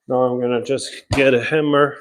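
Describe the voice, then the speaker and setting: A man's voice: mid-range voice, fairly even intonation, slight background noise. A man from Ukraine with a Ukrainian accent, giving casual live commentary and explaining as he works with tools. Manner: explains while working, pausing as he handles tools. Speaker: drywall installer, live stream host. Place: laundry room under renovation, indoors.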